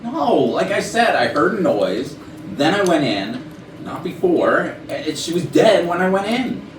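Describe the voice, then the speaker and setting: A man's voice: a little high, big pitch swings, a little slow. A man playing a defensive, smug corporate IT executive in a staged reading of a comic murder mystery. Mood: defensive, indignant. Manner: defensive, indignant. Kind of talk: staged reading of a comedy play. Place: echoey rehearsal room. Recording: roomy, distant, noisy rehearsal recording.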